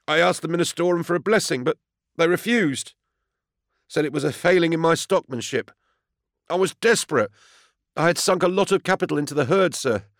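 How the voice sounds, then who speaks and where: A male narrator acts out a cringing farmer standing trial in an audiobook story. The voice is pitched up high, nervous and pleading, with an anxious, faltering deference. The sound is close and dry. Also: brisk, fairly bright tone, no echo. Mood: desperate. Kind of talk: audiobook character acting.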